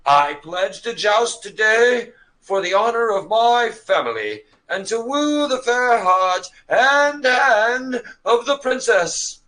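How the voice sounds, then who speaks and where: Man acting earnest gallant knight, animated high pitch, formal declamation, comic radio play. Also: slow pace, heavily acted. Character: earnest, brave, romantic young knight, pompously chivalrous.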